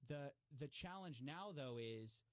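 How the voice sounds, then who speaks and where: A man's voice: on the high side, animated, measured pace, no room sound. A man talking casually as a podcast guest, explaining and telling stories in a conversational way. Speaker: podcast guest, investigative journalist.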